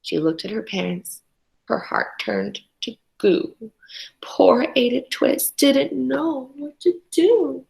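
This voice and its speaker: In an echoey room, a woman reads a picture book aloud for children. Her voice is fairly high and swoops expressively. She takes it slowly, dramatizing the characters and commenting as she goes. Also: big pitch swings, gravelly, a little mellow. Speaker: children's story reader.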